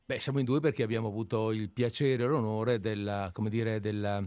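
A man's voice: fairly low pitch, steady tone, a little fast, darkish tone, clear, resonant tone, no echo. A man hosting a live radio show in a studio, chatting and wandering into anecdotes. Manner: chatty, digressive storyteller. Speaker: radio host.

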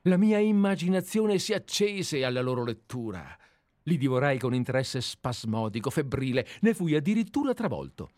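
An actor in a radio play playing a meek, middle-aged former bookkeeper turned detective, deferential, wordy and formally polite. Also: male voice, medium pitch, big pitch swings, moderate pace, a little mellow, dry recording, studio quality, natural voice. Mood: earnest, admiring.

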